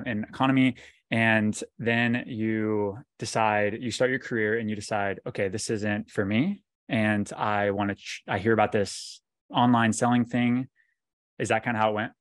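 A man's voice: fairly low pitch, steady tone, normal speed, no room sound. A man hosting a podcast interview in casual chat, asking informal, rambling questions. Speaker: podcast host interviewing a guest.

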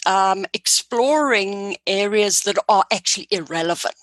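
A woman who immigrated to Canada, with an audible accent, hosting an interview and asking measured, thoughtful questions. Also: mid-range voice, a bit bright, no room sound, rough recording. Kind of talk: conversational interview.

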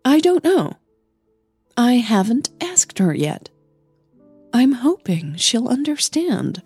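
Woman acting an anxious young daughter, higher-pitched, husky. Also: very animated, slow pace, darkish tone, dry recording, soft background music, full character voice. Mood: anxious, evasive. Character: anxious, defensive young female performer, a daughter. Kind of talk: audio drama dialogue.

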